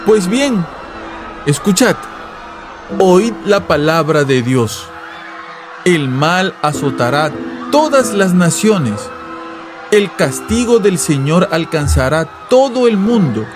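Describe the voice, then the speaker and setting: Predicador apocalíptico exaltado, voz alzada. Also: male voice, high-pitched, big pitch swings, slow, music in the background, voice pushed up, exaggerated voice. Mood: fervent, dire. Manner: imperative, vibrant, urgent oratory. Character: fervent apocalyptic revival preacher, imperious and menacing. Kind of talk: dramatized audiobook fire-and-brimstone sermon.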